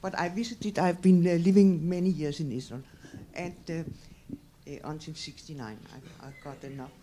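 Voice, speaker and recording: Mellow older Danish woman, hesitant. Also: low voice, moderate pace, slight background noise. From Denmark. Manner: hesitant, repetitive. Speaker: audience member asking a question. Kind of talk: spontaneous personal comment and question. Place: audience at a public talk.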